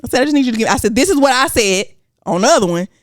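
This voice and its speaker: Woman, Southern accent, casual podcast chat, animated, dry sound. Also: a little high, very fast, no room sound. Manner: animated, colloquial storytelling with slang and cursing. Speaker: podcast co-host.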